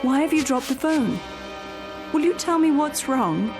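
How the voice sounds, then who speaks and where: An actress playing a practical, coaxing, exasperated caregiver to an old lady in a radio drama, brisk and chiding. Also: sits high, lively intonation. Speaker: companion, caregiver. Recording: radio drama, faint music underneath.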